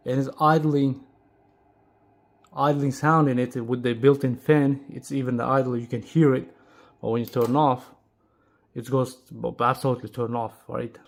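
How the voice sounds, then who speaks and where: A man who speaks English as a second language gives a casual, unscripted product demo as a video host, talking haltingly and checking in with short tag questions. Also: mid-range voice, flat delivery, vocal fry. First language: not English. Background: music playing, amplifier fan.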